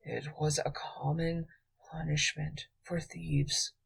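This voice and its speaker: A woman voicing an exhausted, wounded young male thief who speaks in a faint, slow whisper. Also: sits low, flat delivery, creaky, husky, full character voice. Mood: tired, quiet.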